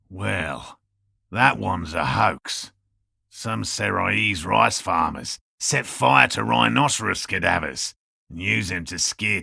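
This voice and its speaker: Game role: proud old male cryptozoologist. Raspy, throaty and sharp, curt pedantic delivery, close dry sound. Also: fairly low pitch, no echo, exaggerated voice. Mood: dismissive, scholarly. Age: older. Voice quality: gravelly, throaty. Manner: pedantic, curt, precise academic diction. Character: proud, prickly old cryptozoologist, devoted field researcher. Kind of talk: video game character dialogue.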